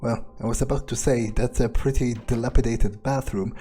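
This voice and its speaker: A man's voice: mid-range voice, fairly even intonation, normal speed, warm tone, slightly rough, faint music behind. A man musing in character as he plays through a game, hesitantly thinking out his next steps. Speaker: gamer playing in character.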